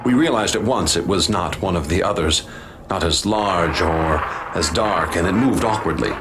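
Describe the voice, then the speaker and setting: A man narrating and acting as expedition leader in a radio drama, grave and measured. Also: sits low, noisy background.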